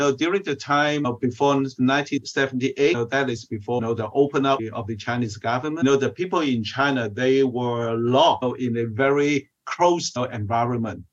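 A man from Hong Kong, a theologian, answers interview questions haltingly and leans on fillers. His pitch is ordinary. The sound comes through a muffled, lo-fi line. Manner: hesitant, with frequent "uh" and "you know". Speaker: guest theologian.

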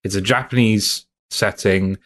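A man's voice: lowish voice, fairly even intonation, unhurried, fairly bright tone. A man co-hosting a game podcast, hesitant and often correcting himself mid-sentence. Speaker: podcast co-host. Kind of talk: casual game discussion.

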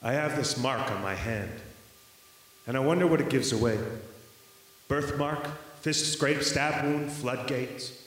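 A man's voice: medium pitch, measured pace, long pauses. A man performing spoken word poetry with a rhythmic, dramatic delivery in an echoey hall. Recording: echoey hall with long reverb.

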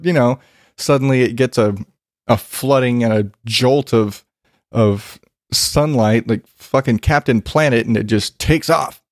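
A man's voice: medium pitch, dry recording, clean studio sound. A man with a congested voice ranting casually about yard work, swearing freely and rambling. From Arizona, US. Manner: profane, rambling, self-deprecating. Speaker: vlogger. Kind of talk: casual rant.

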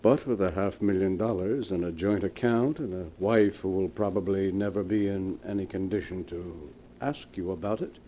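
A low, sly radio-drama accomplice. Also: male voice, moderate pace, hardly any pauses, dark tone. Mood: slyly amiable. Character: sly, greedy male accomplice, flattering and wary. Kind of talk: 1940s radio melodrama acting. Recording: noisy 1940s radio recording.

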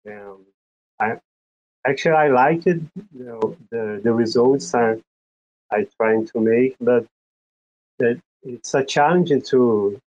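A man from Brazil whose first language is Portuguese, speaking English with a Brazilian Portuguese accent in a halting way, searching for words and often restarting. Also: medium pitch, a little mellow, lo-fi sound. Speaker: guest, psychologist and writer. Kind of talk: casual introduction in an audio chat.

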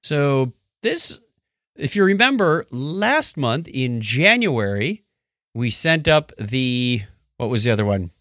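Bright-voiced man, lively and wry. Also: mid-range voice, takes it slowly, no room sound, clean studio sound. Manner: wry, joking asides. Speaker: podcast co-host. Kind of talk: casual science news chat.

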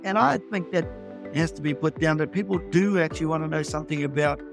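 A man's voice: a little high, fast, vocal fry, over music. A man talking conversationally as an author in an interview.

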